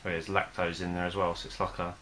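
A young man casually reviewing a beer at home, talking in a relaxed, hesitant way with many fillers. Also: low-pitched, fast, slight background noise. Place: small room in front of a plain wall.

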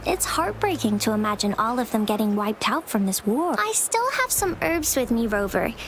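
An actress voicing a gentle, caring young girl herbalist in a video game, earnest and soft-hearted. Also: on the high side, big pitch swings, moderate pace, over music. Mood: concerned, tender. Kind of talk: video game character dialogue.

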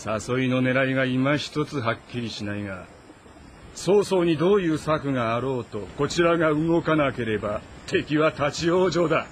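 An actor playing a proud, embittered ageing warlord with haughty, brooding lordly speech in an audio drama. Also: male voice, mid-range voice, moderate pace, a little hiss or hum, close to an everyday voice. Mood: commanding. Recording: music behind the voice.

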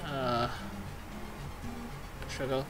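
A woman doing let's play commentary, excitable and sweary, repeating phrases rapidly as she reacts and reads game text. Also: extremely low pitch. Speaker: video game streamer. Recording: game music behind the voice. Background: video game music.

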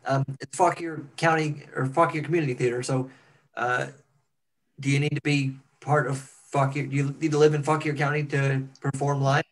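A man's voice: mid-range voice, flat delivery, normal speed, poor audio. A man chatting casually on a panel as a cast member, with wry, clipped asides. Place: indoors on a video call. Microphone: computer mic on video call.

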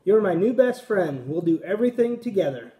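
A man's voice: high-pitched, big pitch swings, fairly quick, mellow. A man voicing a cheerful young male cat in a storybook read-along, with an eager, friendly way of talking. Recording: roomy echo.

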